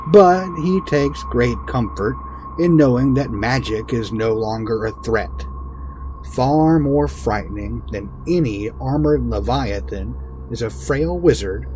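Casting: male narrator, mid-pitched, theatrical and unhurried, for a comic fantasy reading with music underneath. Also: animated, dark tone, rough recording. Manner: theatrical, deliberate.

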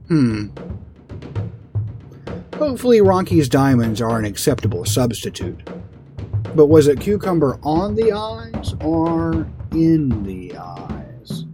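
A man narrating a comic fantasy story in a theatrical, deliberate dramatic-reading style. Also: on the high side.

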